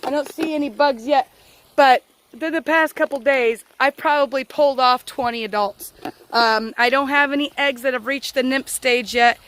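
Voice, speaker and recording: High-voiced woman with a cold, chatting outdoors. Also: normal speed, a bit bright, a little hiss or hum. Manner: folksy, informal, chatty. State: getting over a cold, sore throat. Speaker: gardening vlogger, host. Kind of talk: casual how-to vlog talk. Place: outdoors in a home garden.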